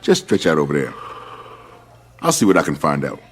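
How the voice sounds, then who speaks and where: An actor playing a laid-back, sly mystic sidekick in a radio drama, musing in an amused drawl. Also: male voice, extremely low pitch, huge pitch swings, fairly quick, crowd noise, exaggerated voice. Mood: reassuring.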